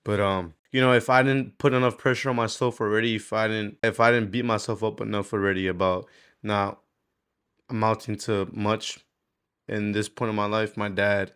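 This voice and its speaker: A man's voice: fairly low pitch, little pitch movement, moderate pace, fairly bright tone, clear, resonant tone. A man, speaking candidly in a rambling, slangy, sweary way, as in a casual confessional video diary. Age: 20s. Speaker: vlogger.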